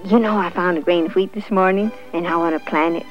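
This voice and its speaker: A woman's voice: on the low side, fairly even intonation, quick pace, lightly acted. A woman voicing an eager, hardworking little red hen in a storybook, coaxing with simple repeated phrases. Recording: dull lo-fi sound with faint music.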